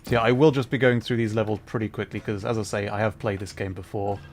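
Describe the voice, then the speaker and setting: A man's voice: on the low side, level tone, fast. A man livestreaming a game, giving casual, rambling commentary and chatting as he plays. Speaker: livestreamer, VTuber. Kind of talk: casual gameplay commentary and chat. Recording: faint background noise, game ambience.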